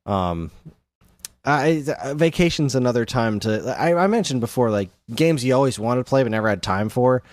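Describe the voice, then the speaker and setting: A man's voice: medium pitch, expressive, moderate pace, dry recording. A man co-hosting a podcast, chatting casually about games in an enthusiastic, rambling, informal way. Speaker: podcast co-host.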